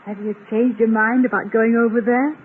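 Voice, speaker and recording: An actress playing a witty, affectionate wife and former stage performer in an old radio comedy-drama, polite and gently teasing. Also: female voice, a little high, fairly even intonation, brisk, lightly acted. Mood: fond, playful. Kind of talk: 1940s-50s radio comedy-drama acting. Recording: noisy, narrow-band old radio recording.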